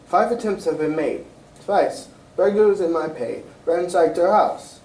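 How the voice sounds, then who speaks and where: An amateur actor, a man, playing an anxious, proud European king in a radio drama style, formal, grave and halting. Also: high voice, darkish tone. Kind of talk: 1940s-style radio mystery acting. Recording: roomy, distant stage recording with faint noise.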